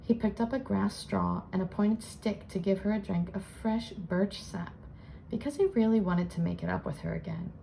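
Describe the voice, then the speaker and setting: A woman reading a children's storybook aloud in an expressive storytelling style, as host. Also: medium pitch, normal speed, gravelly, a little hiss or hum, poor audio. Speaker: children's book reader and channel host.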